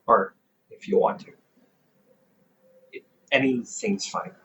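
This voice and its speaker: A young man playing a caring, gently stubborn older brother around twenty in an intimate audio roleplay, teasing softly with hesitant pauses. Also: dull audio. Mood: gentle, stubborn. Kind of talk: intimate audio roleplay, one-sided conversation.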